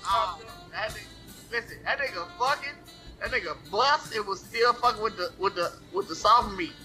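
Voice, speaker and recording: Loud animated man hosting a podcast. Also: high voice, moderate pace, poor audio. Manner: loud, animated storytelling with voiced impressions. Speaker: podcast host. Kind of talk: raunchy comedic podcast banter. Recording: music playing under the talk.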